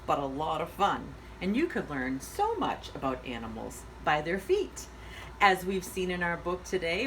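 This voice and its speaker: A woman hosting a story time for young children, giving simple instructions and asking encouraging questions in a deliberate, sing-song way. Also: lowish voice, a bit bright, a little hiss or hum, low-quality recording.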